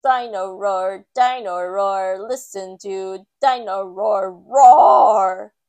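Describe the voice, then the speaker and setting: A woman voicing a loud toy dinosaur in a children's storybook, chanting in slow, drawn-out roars. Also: mid-range voice. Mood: boisterous. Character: loud roaring robotic toy dinosaur, singing proudly.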